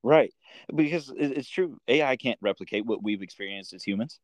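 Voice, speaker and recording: A man's voice: medium pitch, expressive, fairly quick, no room sound. An American man from the Midwest hosting a podcast in an informal, casual chat full of filler words like "like" and "you know". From Midwestern United States. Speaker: podcast host.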